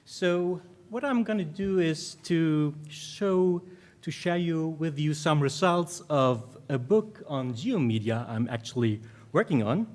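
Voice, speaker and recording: The speaker is a man with a German accent and a voice pitched a little above average. He presents academic research to a conference in an informal way, with phrases that halt and restart as he goes. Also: lively intonation, slow pace, a little mellow.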